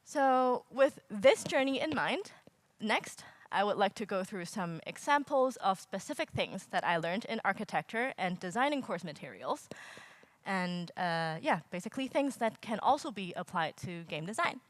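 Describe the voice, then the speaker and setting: A woman's voice: medium pitch, big pitch swings, moderate pace, no room sound. A young woman from Hong Kong giving a casual conference talk, explaining with frequent fillers and wry asides. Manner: casual, self-deprecating, filler-heavy explaining. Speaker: conference speaker. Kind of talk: presentation talk. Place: lecture hall stage. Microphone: handheld or stage mic.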